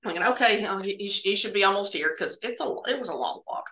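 Woman guest, bright voice, casual halting storyteller of eerie hospital tales, echoey room sound. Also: medium pitch, expressive. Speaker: guest telling stories of working in hospital laboratories.

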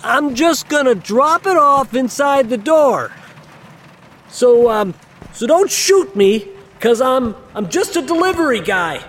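Audio drama casting: anxious young delivery guy, high voice, stammering, very animated pitch, noisy ambience behind. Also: slow pace, heavily acted. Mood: nervous calling out. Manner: hesitant, stammering, jittery. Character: nervous, good-hearted delivery guy alone at a spooky mansion. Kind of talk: naturalistic audio drama acting.

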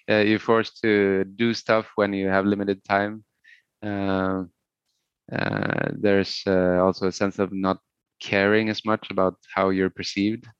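A middle-aged man from Sweden, a native Swedish speaker, talking haltingly and thoughtfully as a musician in a casual interview. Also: lowish voice, smooth, no room sound, dull audio. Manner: hesitant, halting, thoughtful, searching for words.

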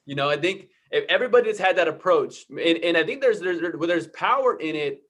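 A man's voice: a little high, animated, a little fast, fairly bright tone. Affirming man hosting an interview. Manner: affirming, reflective, stumbles and repeats words. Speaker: interview host. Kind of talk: conversational interview.

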